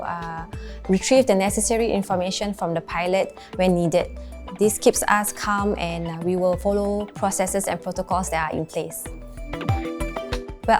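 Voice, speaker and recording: A young woman with a Singaporean accent explains her work as an air traffic controller in an interview, in measured phrases with frequent pauses. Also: mid-range voice, darkish tone.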